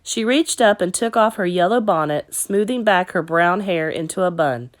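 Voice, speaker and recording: A woman teacher narrating a children's story in an expressive read-aloud style. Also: lowish voice, moderate pace.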